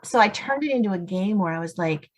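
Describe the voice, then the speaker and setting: A woman's voice: medium pitch, lively intonation, fast. An American woman speaking as an interview guest, telling personal stories casually and acting out little quoted asides. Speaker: guest, suspense novelist. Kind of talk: casual storytelling in conversation.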